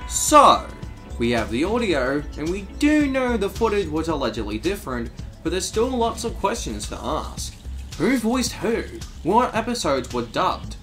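Guy doing video essay narration, kinda high pitched, big swings in pitch, faint music behind. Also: very animated, measured pace, a bit bright.

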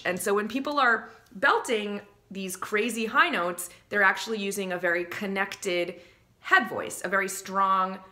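Bright-voiced woman giving chatty singing tips. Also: medium pitch. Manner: chatty and animated, breaks into sung examples. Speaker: vocal coach. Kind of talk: casual teaching to camera. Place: small home studio room, indoors.

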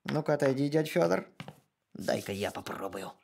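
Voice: mid-range voice, expressive, normal speed, creaky, noisy background. An actor voicing an easygoing, put-upon male dog with a plaintive, colloquial manner in a children's cartoon. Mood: miffed.

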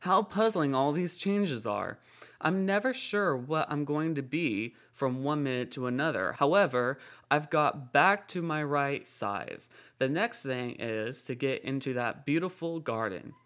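A woman's voice: low voice, lively intonation, unhurried. A woman voicing an earnest, indignant little girl in a storybook reading. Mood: musing.

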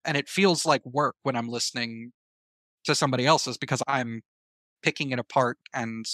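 A man's voice: medium pitch, moderate pace, bright, forceful tone, dry recording, clean studio sound. A man speaking casually as an interview guest, sharing advice and experience in a conversational way. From American Southeast. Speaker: podcast guest, writer and filmmaker.

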